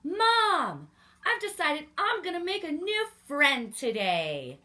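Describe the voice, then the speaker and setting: A woman voicing an excited, eager young girl bear in a storybook, exclaiming with exuberance. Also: high-pitched, takes it slowly, pitched above the performer's own voice, heavily acted.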